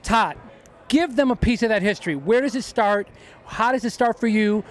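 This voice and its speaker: A man's voice: high-pitched, moderate pace, slight background noise. A man hosting a talk show interview, effusive and enthusiastic with his praise. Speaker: host.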